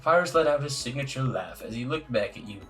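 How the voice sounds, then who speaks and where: Male let's play narrator: casual read-aloud, mid pitch, small self-corrections, light music bed. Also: lively intonation, fast, a bit bright. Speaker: let's play host and reader. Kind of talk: casual narration reading a visual novel aloud.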